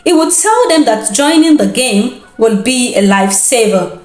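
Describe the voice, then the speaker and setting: A woman narrating a scripted folktale as a storyteller, in an expressive, deliberate and dramatic manner. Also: a little high, echoey room. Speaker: storyteller, host.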